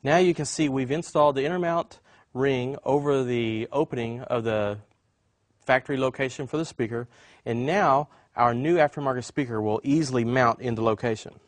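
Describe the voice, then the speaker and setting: A man's voice: medium pitch, moderate pace, no echo. A man presenting an instructional product demonstration, explaining things step by step as a demonstrator.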